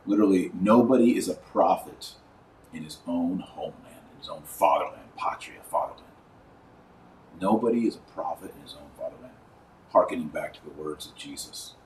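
A man hosting a religious commentary podcast, speaking in an emphatic, didactic, preachy way with deliberate stresses and repetition. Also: deep voice, a little mellow. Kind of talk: preachy commentary to camera or microphone. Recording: roomy echo, distant mic, faint noise.

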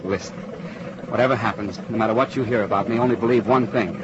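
An actor playing a cocky but tender young army lieutenant, reassuring and intimate, in vintage radio drama style. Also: male voice, lowish voice, quick pace, close to an everyday voice. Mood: tender, resolute. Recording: muffled noisy old radio drama.

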